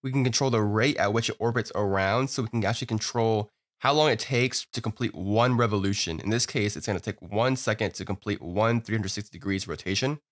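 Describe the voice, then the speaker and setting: A man walking through a software tutorial in a relaxed, upbeat way, often filling gaps with "you know" and "uh". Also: lowish voice, a little fast, few pauses. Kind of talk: screen-recorded software tutorial.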